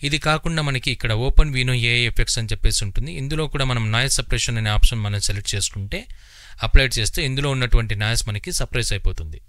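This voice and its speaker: A man giving an informal step-by-step software tutorial, instructional and often repeating himself, close on the mic. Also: mid-range voice, fast, no echo. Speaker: tutorial host.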